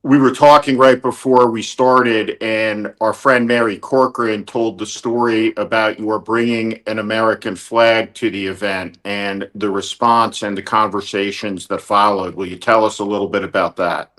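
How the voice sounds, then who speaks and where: Measured male interview host. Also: lowish voice, little pitch movement, a bit bright. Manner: measured, asks direct questions. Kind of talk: live news interview.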